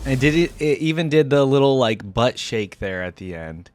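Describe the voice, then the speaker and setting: A man gives casual reaction commentary. His mid-range voice moves up and down in a lively way as he muses informally out loud. Music plays clearly behind him. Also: mid-range voice, moderate pace. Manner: informal, musing aloud. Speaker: reaction video host.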